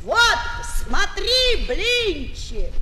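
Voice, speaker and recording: Very high, childish boy clown. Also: very slow, crowd noise, exaggerated voice. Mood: earnest reciting. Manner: exaggerated, excitable, singsong clowning. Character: merry, childish boy clown, devoted to his grandmother. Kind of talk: Soviet children's radio theatre acting. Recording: 1970s flexi-disc, noisy, long reverb.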